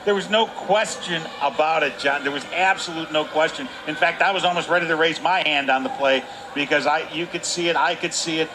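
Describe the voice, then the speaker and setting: Man, slightly high voice, chatty hockey analysis, repeats himself for emphasis, faint arena noise. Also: a little fast. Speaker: color commentator. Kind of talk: conversational sports analysis.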